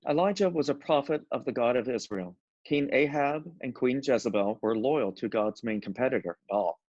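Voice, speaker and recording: A man's voice: medium pitch, a little mellow, no room sound, highs cut off. A man narrating a scripture story as a scripted reading.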